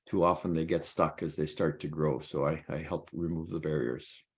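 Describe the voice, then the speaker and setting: A man introducing himself as past chair on a video call, in a relaxed, matter-of-fact way. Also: extremely low pitch, moderate pace.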